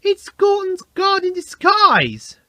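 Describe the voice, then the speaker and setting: A man voicing a proud, excitable male steam engine who exclaims in a children's storybook. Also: very high-pitched, slow pace, no echo, clean studio sound, pitched above the performer's own voice, exaggerated voice. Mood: astonished. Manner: exclaiming, breathless astonishment.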